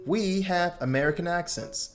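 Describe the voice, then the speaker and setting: A man hosting a reaction video, reacting with incredulous, expressive commentary. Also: sits high, moderate pace, soft background music.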